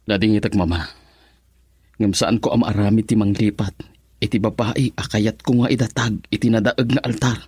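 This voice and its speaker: A man's voice: fairly low pitch, fairly even intonation, moderate pace, darkish tone, slightly rough. A Filipino man playing a young, lovestruck and defiant son in a radio drama. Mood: pleading, defiant.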